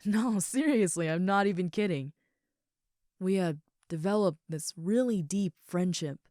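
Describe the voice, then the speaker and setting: Affectionate young female ogre roommate played by a woman for comfort roleplay audio: very low-pitched, relaxed, soft and sincere, close dry sound. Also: a little slow, dry recording, natural voice. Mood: earnest, rambling. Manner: soft, sincere, rambling, reassuring.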